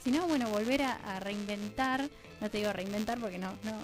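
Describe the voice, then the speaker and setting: A woman's voice: mid-range voice, fairly quick, soft background music. A woman with an Argentine accent talking discursively and reflectively as a guest in a conversational radio interview. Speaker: radio drama producer and guest.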